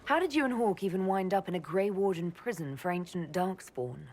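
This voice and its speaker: Brief: elf mage heroine, slightly low voice, composed, inquisitive, plain-spoken, fantasy game. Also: female voice, expressive, brisk, darkish tone. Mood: determined. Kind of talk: video game voice acting.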